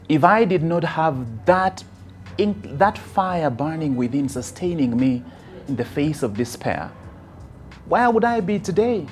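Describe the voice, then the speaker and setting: A man with a Kenyan accent giving a motivational personal testimony, phrasing deliberately and dramatically with emphatic stresses. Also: mid-range voice. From rural Kisii, Kenya. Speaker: motivational speaker.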